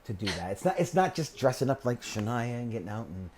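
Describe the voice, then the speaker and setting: Relaxed rambling male podcast host. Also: medium pitch, fast, crowd noise. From Maine. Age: 40s. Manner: relaxed, rambling, trails off softly and laughs often. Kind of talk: casual interview chat.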